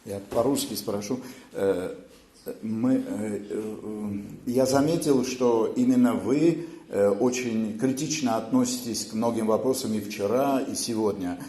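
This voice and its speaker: A man in a conference audience asking a long question with hesitant pauses and many fillers. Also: lowish voice, warm tone, reverberant. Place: conference hall.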